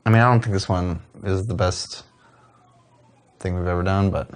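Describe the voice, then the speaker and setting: A man's voice: sits low, steady tone, moderate pace. A man who hosts a show, commenting casually on video in an emphatic, slangy way. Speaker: show host.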